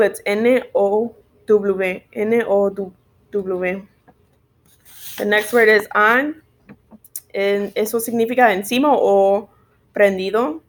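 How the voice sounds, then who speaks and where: A woman gives a bilingual spelling lesson at a very slow, deliberate pace. She switches between Spanish and English and spells each word out letter by letter. Her pitch sits in a middle range. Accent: Spanish-speaking learner of English. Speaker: teacher of English sight words.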